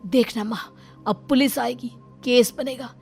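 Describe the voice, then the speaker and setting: A woman's voice: on the high side, fairly even intonation, measured pace, gravelly, darkish tone, soft background music. A woman voicing a protective young husband and son in a cartoon story, firm and accusing. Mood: stern.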